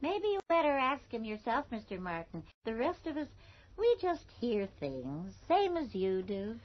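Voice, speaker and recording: An actress playing a shrewd, bossy small-town storekeeper with a folksy, insistent way of talking in a radio drama. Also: female voice, on the high side, big pitch swings, measured pace. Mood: slyly polite. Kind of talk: 1980s radio drama acting. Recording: muffled old radio broadcast.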